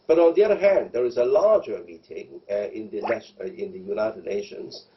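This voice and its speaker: A man speaking as a panelist in a live debate, hesitant and evasive, halting and repeating words as he goes. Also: lowish voice, lo-fi sound.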